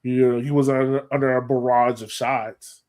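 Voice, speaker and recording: A man hosting a sports podcast, talking through a match in an animated, casual way and often checking his points with a quick "right?". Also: mid-range voice. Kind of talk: casual match analysis chat.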